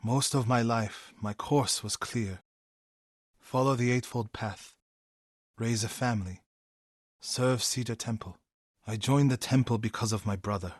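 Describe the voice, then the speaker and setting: Casting: male actor as a brave, solemn warrior monk for a video game; husky voice, close dry sound. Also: medium pitch, fairly even intonation, a little slow, pauses often, no echo, lightly acted. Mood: grave, subdued. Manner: earnest, solemn, intense. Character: devout grieving warrior monk, brave and earnest adult man. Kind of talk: dramatic video game acting.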